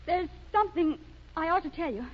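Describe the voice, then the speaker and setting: A woman's voice: very high voice, expressive, brisk. An actress playing an earnest, anxious, guilt-burdened young woman in a 1930s radio melodrama. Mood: urgent, distressed. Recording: muffled, noisy 1930s radio recording.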